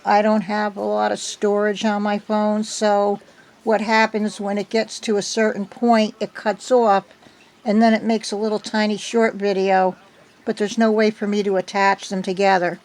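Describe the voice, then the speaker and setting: An older woman with a slightly hoarse voice from a cold, chatting at home into her phone in a meandering way, with many ums. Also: medium pitch, flat delivery, moderate pace, a little mellow. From United States. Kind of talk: casual chat. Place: at home indoors.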